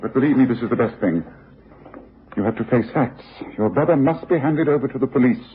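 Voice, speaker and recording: An actor playing a confident, analytical Victorian detective in a radio drama, speaking with precise authority. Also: male voice, medium pitch, brisk, a little hiss or hum, close to an everyday voice. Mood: firm, regretful. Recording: muffled phone-like old radio recording.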